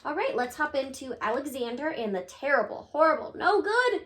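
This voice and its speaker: A woman's voice: sits high, very animated, a little fast, a bit bright. A woman reading a picture book aloud to children, with dramatic emphasis on key words.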